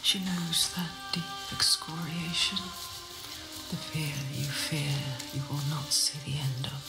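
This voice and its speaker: A woman voicing a grave narrator of a dark myth in a video game, solemn and measured, in poetic dramatic narration with a creaky low voice. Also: steady tone, heavily acted. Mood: ominous. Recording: echoing voice over game music.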